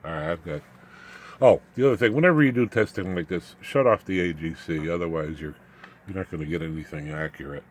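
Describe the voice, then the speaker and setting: A man giving an informal, unscripted electronics demonstration, haltingly, muttering asides as he works with his hands. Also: deep voice, slight background noise. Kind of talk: informal unscripted technical show-and-tell.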